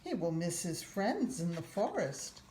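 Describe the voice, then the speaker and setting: Storybook mother, read by a woman: patient, gently probing, unhurried, roomy recording with faint noise. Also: lowish voice, big pitch swings. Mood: gently questioning. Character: gently questioning, patient mother of a young boy. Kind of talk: children's storybook read-aloud.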